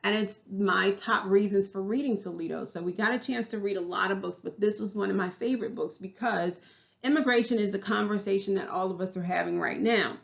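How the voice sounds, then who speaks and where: A woman's voice: mid-range voice, brisk, vocal fry, muffled recording. A woman in her thirties with a Southern US accent, a teacher giving a class presentation in an earnest way, often restarting her phrases. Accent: Southern US English.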